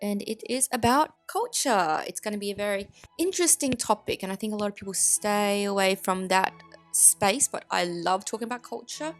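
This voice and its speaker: A woman's voice: mid-range voice, lively intonation, normal speed, faint music behind. An Australian woman with an Australian accent, giving blunt, opinionated commentary full of indignant rhetorical questions. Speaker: commentary vlogger.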